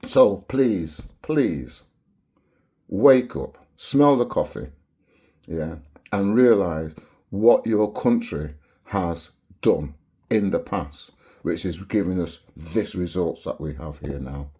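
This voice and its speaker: A man's voice: low voice, expressive, slow pace, mellow, husky. A man in his seventies from Barbados with a Bajan accent, speaking earnestly and preachingly to his viewers, often repeating phrases and ending with "yeah?". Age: 70s. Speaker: online show host. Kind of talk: direct-to-camera commentary.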